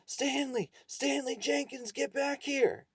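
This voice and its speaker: Man playing an exasperated, high-strung male teacher. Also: steady tone, a little fast, vocal fry, husky, no echo, full character voice. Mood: angry. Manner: loud, exasperated. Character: angry, nervous male schoolteacher in charge of boys.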